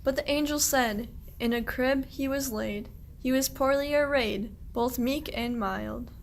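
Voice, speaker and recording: Woman acting a high-voiced, kindly, slow shepherd. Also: slight background noise. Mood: hopeful. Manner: declamatory rhymed verse. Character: kindly, devout medieval shepherd. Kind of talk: amateur radio play, verse mystery play.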